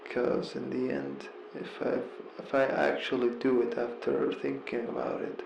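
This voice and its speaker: A man with a heavily creaky, gravelly voice speaks slowly in an earnest, confessional monologue. He halts and repeats phrases as he reflects, in a roomy, echoing space with music underneath. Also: mid-range voice, little pitch movement, background noise. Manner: halting, repeats words and phrases, pausing mid-sentence.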